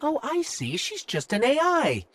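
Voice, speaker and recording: A woman voicing a small robot companion in a video game, anxious and wry, with an expressive, skeptical manner. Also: high voice, unhurried, noisy background, heavily acted. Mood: wry, uneasy.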